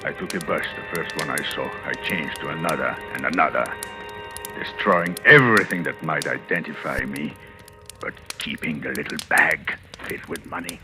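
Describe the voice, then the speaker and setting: A man's voice: rumbling low, normal speed. An actor playing a scheming, self-assured husband in a 1940s radio thriller, theatrical and intense, confessing his own crime. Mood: brooding, smug. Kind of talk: 1940s radio thriller acting, first-person confessional narration. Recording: old radio recording with dramatic music.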